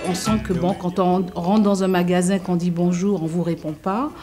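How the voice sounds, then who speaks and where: A woman's voice: on the low side, steady tone, normal speed, music in the background. Hesitant, reflective woman from French Guiana. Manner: hesitant, reflective, frequent fillers and restarts. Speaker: interviewee. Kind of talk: personal testimony in an interview.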